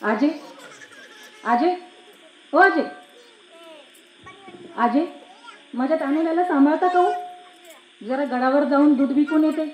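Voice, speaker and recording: A woman's voice: sits high, slow, long pauses, highs cut off, slight background noise. A woman playing an anxious young milkmaid mother in a stage play, emphatic and pleading, with a rural Maharashtrian accent. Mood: worried. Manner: emphatic, pleading, repeats calls and words. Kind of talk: theatrical one-act play monologue. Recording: echoey room.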